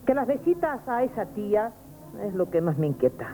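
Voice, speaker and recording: A woman's voice: medium pitch, sing-song, a little fast, warm tone, faint music behind, natural voice. An actress with a Spanish accent playing a scheming, anxious, domineering working-class Madrid woman in a radio drama. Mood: uneasy, conspiring. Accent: Spanish, from Spain. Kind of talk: 1970s Spanish radio theatre acting. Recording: noisy old radio broadcast.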